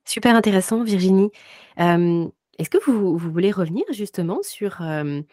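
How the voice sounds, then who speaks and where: A woman's voice: mid-range voice, moderate pace, mellow, no echo, clean studio sound. A woman hosting a podcast, asking the guest hesitant, encouraging follow-up questions. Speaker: podcast host. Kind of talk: podcast interview.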